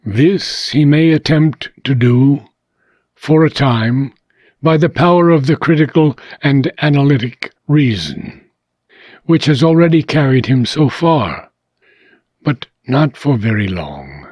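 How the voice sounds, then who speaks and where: Man, audiobook-style philosophical reading, unhurried and measured, frequent pauses, dry room sound. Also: mid-range voice, animated, darkish tone, no room sound. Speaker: reader of a philosophical book.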